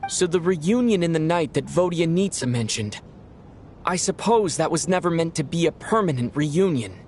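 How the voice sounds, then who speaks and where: A man playing a young male traveler in a game, recounting a mystery in a measured, earnest, reflective way over music. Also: on the high side, lively intonation, a little hiss or hum. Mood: realizing, troubled. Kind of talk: scripted game narration, inner monologue.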